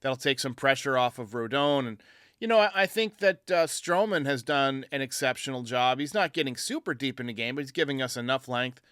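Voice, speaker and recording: A man's voice: medium pitch, expressive, fairly quick, a bit bright, smooth, no room sound, studio quality. A man hosting a baseball fan show, giving his commentary in answer to callers. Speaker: sports show host.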